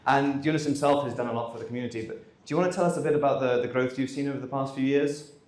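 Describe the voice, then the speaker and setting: A man's voice: medium pitch, very fast. Man hosting in an echoey hall. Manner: relaxed, conversational, with frequent ums. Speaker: conference host. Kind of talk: opening remarks on stage. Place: conference hall.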